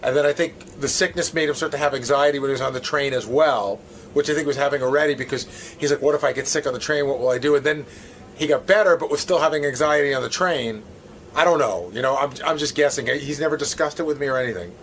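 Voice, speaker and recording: A man's voice: mid-range voice, quick pace, a bit bright. A man giving casual, speculative interview answers, explaining things as he goes. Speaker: interviewed show staffer. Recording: faint background room noise.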